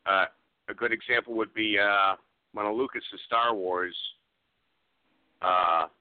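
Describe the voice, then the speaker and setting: A man chatting casually as a radio interview guest, halting but matter-of-fact. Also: fairly low pitch, fairly even intonation, dry recording. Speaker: guest, film special effects artist. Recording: dry, muffled phone-like line.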